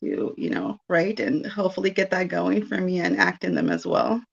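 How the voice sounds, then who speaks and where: A woman's voice: fairly low pitch, very animated, moderate pace, vocal fry, lo-fi sound. A woman in her late thirties from South Texas, a medium chatting as an interview guest, rambling with lots of fillers and frequent giggles. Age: 30s. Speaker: guest, medium and paranormal investigator. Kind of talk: casual interview chat.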